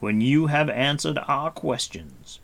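A man's voice: mid-range voice, very animated, slow, vocal fry, a bit bright, voice pushed up, heavily acted. A man voicing a stern, impatient warrior prince who speaks firmly, in a storybook reading. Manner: firm, impatient, severe.

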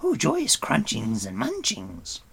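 A man's voice: on the high side, huge pitch swings, slow, vocal fry, a bit bright, slightly rough, pitched above the performer's own voice, exaggerated voice. A man voicing a groveling, greedy, hairy forest creature who wails and pleads, in a storybook reading. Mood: wailing. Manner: wailing, pleading, talks of himself by name.

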